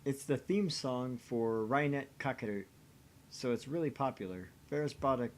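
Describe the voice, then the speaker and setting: A man's voice: mid-range voice, lively intonation, normal speed, natural voice. A man voicing a perky, coy catgirl maid from a visual novel, with cutesy cat-like verbal tics. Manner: cutesy, ends phrases with a cat-like tic.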